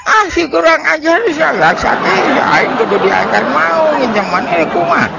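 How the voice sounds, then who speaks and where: A man voicing an indignant old village grandmother in a comic folk tale, in a high, shrill, scolding voice over a noisy recording. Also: sing-song, unhurried, vocal fry, over a noisy crowd, heavily acted.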